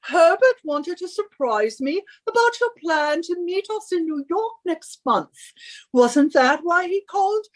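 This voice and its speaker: A woman's voice: very high voice, sing-song, a little slow, full character voice. A woman playing an imperious, henpecking wife in a radio suspense drama, nagging and scolding with theatrical emphasis. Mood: smugly insistent. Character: bossy henpecking British wife, shrill and imperious.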